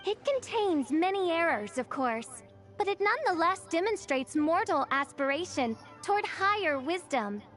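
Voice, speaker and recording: A woman's voice: extremely high pitch, sing-song, moderate pace, a bit bright, faint music behind, full character voice. A woman playing a grandiose teenage girl who styles herself a princess, declaiming in archaic, theatrical English for an anime game. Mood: grand, proud. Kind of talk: English-dubbed anime game acting, archaic florid speech.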